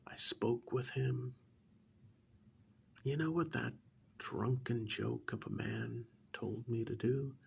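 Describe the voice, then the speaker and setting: A man playing a coldly patronizing serial killer in a soft-spoken ASMR role-play, breathy and half-whispered, with condescending, deliberate delivery. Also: medium pitch, wildly swooping pitch, slight background noise, full character voice. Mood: soft contempt. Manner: slow, deliberate, condescending, drawn-out pauses. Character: menacing male serial killer, coldly patronizing to his captive.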